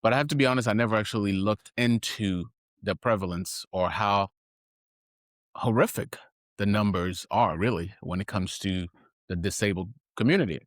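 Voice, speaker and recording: Low-voiced man, earnest podcast host. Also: normal speed, no echo, clean studio sound. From Haiti. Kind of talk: reflective solo podcast talk.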